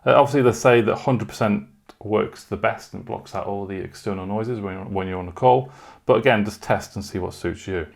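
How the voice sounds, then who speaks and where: A man's voice: fairly low pitch, moderate pace, creaky, hardly any pauses. A man talking casually through a product unboxing and review in an informal way, with short asides.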